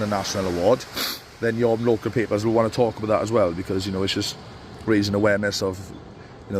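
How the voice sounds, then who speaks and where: A man with a cold, giving casual, rambling advice to barbers from a beach. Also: fairly low pitch. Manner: rambling, with many fillers and restarts. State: stuffy with a cold. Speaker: barber coach. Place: outdoors on a beach. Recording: faint outdoor noise.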